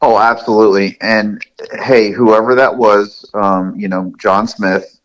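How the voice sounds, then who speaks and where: A man with a fairly low voice co-hosts a podcast. He talks casually, with a laid-back and agreeable manner. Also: fairly even intonation, a little slow, low-quality recording. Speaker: podcast co-host. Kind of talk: casual chat.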